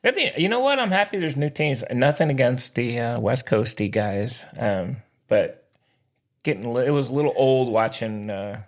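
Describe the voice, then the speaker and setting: A man's voice: mid-range voice, lively intonation, moderate pace. A man co-hosting a casual sports podcast, goofing around and playfully stretching out and repeating names. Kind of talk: casual, joking sports banter.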